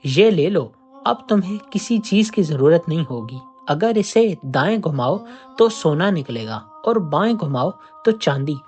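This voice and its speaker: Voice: mid-range voice, lively intonation, unhurried, darkish tone, soft background music, close to an everyday voice. A narrator voicing a grumpy, generous river demon.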